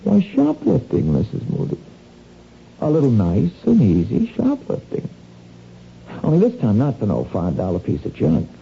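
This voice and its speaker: An actor playing a sly small-time crook in a radio drama, wheedling and insinuating in folksy, slangy talk. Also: male voice, mid-range voice, wildly swooping pitch, moderate pace, vocal fry. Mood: coaxing, sly. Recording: dull, noisy lo-fi radio recording.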